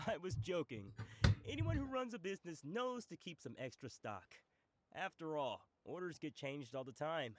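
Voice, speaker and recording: Friendly food vendor in a fantasy game: jokey, generous, bouncy higher-pitched delivery. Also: male voice, big pitch swings, measured pace, heavy background noise. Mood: teasing, jovial. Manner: hearty, teasing. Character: genial, joking male food-stall merchant.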